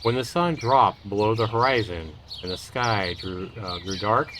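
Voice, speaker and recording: A man hosting a livestream, reading a visual novel aloud in a measured storytelling way. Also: lowish voice, background noise. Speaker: livestream host. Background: loud music and birdsong.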